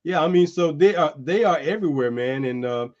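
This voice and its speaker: A man hosting a streamed show, chatting loosely and calling people "man" as he pitches merchandise. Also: animated, a little fast, a little mellow, dull audio. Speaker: host. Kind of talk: casual chat and merchandise pitch. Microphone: streaming setup.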